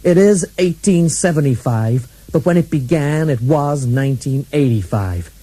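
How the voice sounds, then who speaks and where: Dry hardboiled assassin narrator, mellow low-key voice, radio comedy. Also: male voice, normal speed, no room sound, low-quality recording. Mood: deadpan. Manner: deadpan parody of hardboiled narration. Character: deadpan hardboiled male bodyguard-assassin narrator. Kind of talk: 1980s comic radio play.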